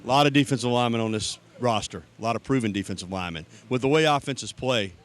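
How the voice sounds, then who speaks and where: American sports reporter guy interviewing outside, quick talker, faint background noise. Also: mid-range voice. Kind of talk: broadcast field interview. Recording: outdoor field recording, faint ambient noise.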